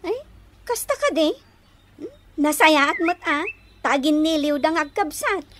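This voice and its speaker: A woman's voice: high voice, animated, measured pace, a bit bright, slight background noise. A woman playing a cheeky, inquisitive younger daughter in a radio comedy-drama, questioning and exclaiming. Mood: curious.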